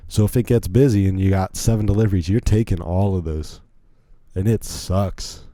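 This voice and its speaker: Low, mellow-voiced American man rambling. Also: steady tone, moderate pace, dry recording, studio quality. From Virginia, United States. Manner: rambling, chatty, self-interrupting asides. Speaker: podcast host. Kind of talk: casual solo podcast storytelling.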